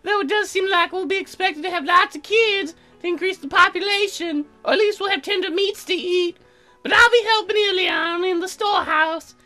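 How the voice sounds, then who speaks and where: Man putting on a high, ditzy young woman. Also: bright, forceful tone, soft background music, full character voice. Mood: bubbly, friendly. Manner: airheaded, drawn-out words with many likes. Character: ditzy, bubbly, airheaded young woman colonist.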